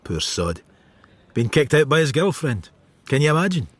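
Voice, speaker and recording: An actor playing a world-weary, cynical detective who narrates his thoughts in a wry, brooding radio drama style, with a husky voice. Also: on the high side, very animated, normal speed, no room sound.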